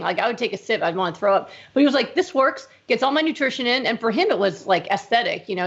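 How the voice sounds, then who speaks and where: A middle-aged woman physician, a guest in a casual interview, talking in quick emphatic fragments with many "like"s and "right?"s. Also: a little high, highs cut off.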